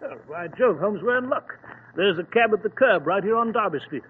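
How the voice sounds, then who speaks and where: A man's voice: high voice, lively intonation, a little fast. An actor playing a bluff, loyal middle-aged male doctor in a hearty, exclaiming manner, in 1940s radio acting. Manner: hearty, exclaiming, old-fashioned English. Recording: tinny lo-fi old radio broadcast with faint hiss.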